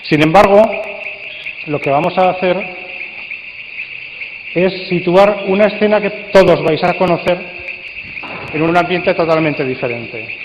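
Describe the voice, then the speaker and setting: A man with an accent from Spain gives a live, interactive talk to an audience, with measured, playfully theatrical phrasing. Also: high voice. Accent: Spanish from Spain. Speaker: speaker and coordinator at a radio drama congress. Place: large indoor congress hall. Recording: dull, echoey hall recording with faint noise.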